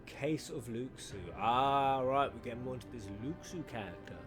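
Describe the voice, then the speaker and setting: A man hosting a reaction video, excitable and swearing, shouting at the screen and at times dropping to a whisper. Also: medium pitch, moderate pace. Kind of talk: live reaction commentary. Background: game cutscene audio and music.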